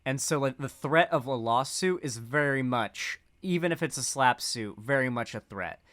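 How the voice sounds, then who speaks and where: Man, casual commentator, rambling, mid pitch, smooth voice. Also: moderate pace, fairly bright tone. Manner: rambling, lots of "like" and restarts. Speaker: political commentator.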